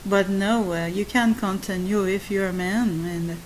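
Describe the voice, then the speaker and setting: Slow, soft-spoken accented woman. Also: mid-range voice, steady tone, a little hiss or hum, rough recording. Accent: non-native English. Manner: slow, halting, with many fillers and restarts. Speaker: channel host. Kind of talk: spoken monologue to subscribers.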